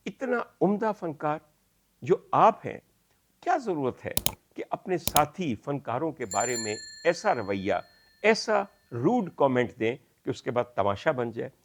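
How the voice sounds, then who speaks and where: A middle-aged Pakistani man delivering opinion commentary in an earnest, admonishing, rhetorical way with formal diction. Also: medium pitch. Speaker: commentary vlogger. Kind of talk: opinion commentary addressed to camera.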